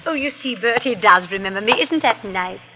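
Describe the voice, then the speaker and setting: An actress playing a sweet, trusting older aunt, genteel and fluttery, in the style of 1940s radio acting. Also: female voice, medium pitch, animated, moderate pace, very noisy, close to an everyday voice. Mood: coy. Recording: reverberant, muffled vintage radio recording.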